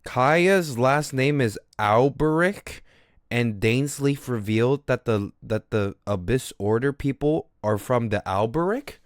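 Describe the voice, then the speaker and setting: A livestreamer chatting casually and reacting in a slangy, excitable way, often repeating phrases. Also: mid-range voice, slow pace, no echo, clean studio sound. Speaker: livestreamer reacting to game lore videos. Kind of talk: casual stream chat reaction.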